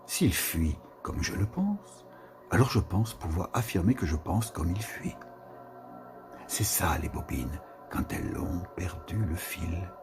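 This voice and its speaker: Slow gravelly man reading over music. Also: low-pitched, sing-song. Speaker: reader of a literary text.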